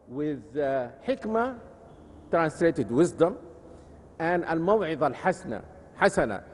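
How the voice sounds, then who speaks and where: A male Islamic preacher with an Arabic accent and a fairly high voice. He lectures deliberately, explaining scripture and repeating key phrases. The recording has long hall reverb and some faint noise. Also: darkish tone. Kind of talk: religious lecture with scripture quotations. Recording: noisy, echoey hall.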